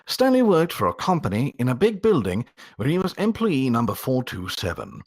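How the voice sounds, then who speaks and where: A man reading a story aloud as a voice actor, with a theatrical, expressive storytelling delivery. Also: medium pitch, moderate pace. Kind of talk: dramatic storytelling narration.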